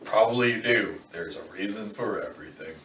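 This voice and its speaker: In a roomy, slightly noisy recording, a low-voiced killer acted by a man speaks with measured menace. He is philosophical and formal, a cold fatalist in a dramatic reading of a novel. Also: a bit bright, lightly acted. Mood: calm, philosophical. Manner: measured, deliberate, formal phrasing. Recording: roomy, distant, faint background noise.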